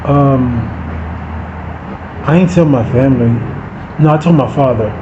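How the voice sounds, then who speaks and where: A man's voice: medium pitch, unhurried. A man in his forties telling a personal story casually, rambling and correcting himself, acting out past conversations. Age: 40s. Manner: rambling, self-correcting, acts out remembered conversations. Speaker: vlogger. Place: room with an open window, abroad. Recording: roomy, dull sound with loud background noise. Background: music and street traffic through the window.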